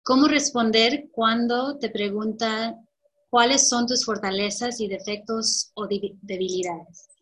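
Brisk, higher-voiced woman host. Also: fairly even intonation, a bit bright, a little hiss or hum. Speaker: webinar host. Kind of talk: moderating questions.

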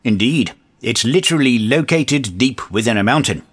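A man's voice: mid-range voice, expressive, normal speed, slightly rough. A man playing an earnest, officious and exasperated agency chief in a comedic audio drama. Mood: urgent, exasperated. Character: exasperated, earnest male agency chief briefing misfit heroes.

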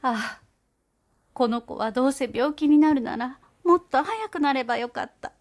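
A woman's voice: high voice, moderate pace, voice pushed up, natural voice. A woman voicing an anxious, humble, and very polite mother field mouse in a storybook reading. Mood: tearful.

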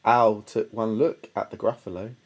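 A woman narrating a children's storybook in a measured, expressive storytelling manner. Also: a bass voice. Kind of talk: scripted children's story reading.

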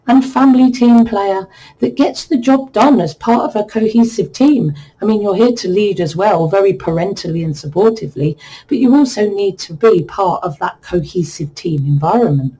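A woman giving a chatty, repetitive numerology reading, her voice croaky and a bit worn from the change of season. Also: mid-range voice, lively intonation, moderate pace, warm tone, rough recording. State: voice croaky from a cold spell. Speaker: numerology reader. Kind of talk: explanatory numerology reading monologue.

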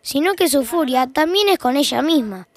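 High-voiced Argentine woman narrating. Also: lively intonation, moderate pace. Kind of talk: scripted, wry audio fiction narration.

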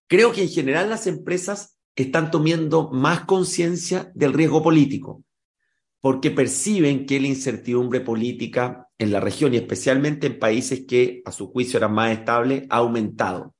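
A man speaks as an expert guest, laying out his points in a measured, explanatory way. His voice sits in an ordinary middle pitch. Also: a little mellow. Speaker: political risk analyst. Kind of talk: expert interview answers.

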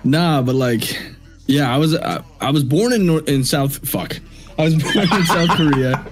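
A man's voice: fairly high pitch, unhurried, music in the background. A young man from Washington State, a streamer and rapper, chatting as a guest over a video call, swearing freely and laughing often. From United States (Washington State). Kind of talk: casual chat.

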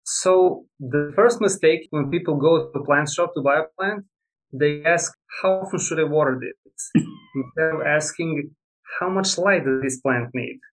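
A man's voice: a little high, a little slow, low-quality recording. A young adult man from Serbia, a guest on a podcast, explaining houseplant care in a friendly, explanatory way with light laughs. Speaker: plant expert guest. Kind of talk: casual interview talk.

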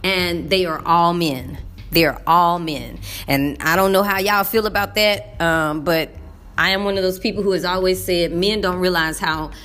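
Emphatic Texan woman teacher, low voice. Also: fairly bright tone. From Texas. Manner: folksy and emphatic, drops into classroom voices. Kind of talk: casual talk to camera.

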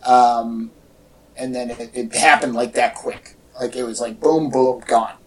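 A man's voice: mid-range voice, steady tone, a little slow. Echoey, distant-sounding man chatting casually. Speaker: podcast co-host. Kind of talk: casual chat about movie news. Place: indoor room with echo. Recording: echoey room, distant from mic.

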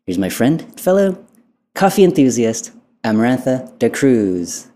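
A woman's voice: very deep voice, very animated, measured pace, dark tone. A woman in her thirties hosting a podcast, chatting casually in a friendly interview style. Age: 30s. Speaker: podcast host.

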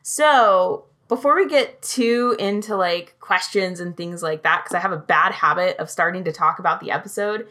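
A woman's voice: lowish voice, moderate pace, hardly any pauses, sharp tone. A young woman hosting a podcast in casual chat, bubbly and giggly, often saying "like". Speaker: podcast host.

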